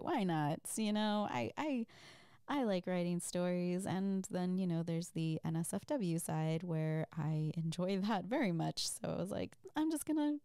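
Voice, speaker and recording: A woman chatting casually as a host, reading out and answering listener questions in a soft, giggly, rambling and hesitant way. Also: on the low side, vocal fry, no room sound, studio quality. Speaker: audio creator and host.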